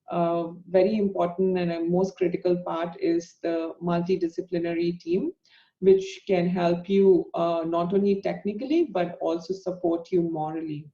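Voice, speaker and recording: Flat, gravelly woman in an echoey room. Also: fairly low pitch. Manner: hesitant, many filler pauses. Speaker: panelist. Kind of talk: presentation talk. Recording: echoey, dull lo-fi sound.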